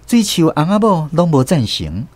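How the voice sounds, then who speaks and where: An actor with a Taiwanese accent narrating a family tale as the storyteller in a radio drama. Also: male voice, fairly high pitch, sing-song, moderate pace, no echo.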